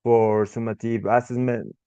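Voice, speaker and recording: A young man with an Ecuadorian Spanish accent gives a short class presentation as an English tutor, pausing often with fillers and restarting words. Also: medium pitch, level tone, dark tone, clear, resonant tone, no room sound, dull audio. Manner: halting, frequent restarts and fillers.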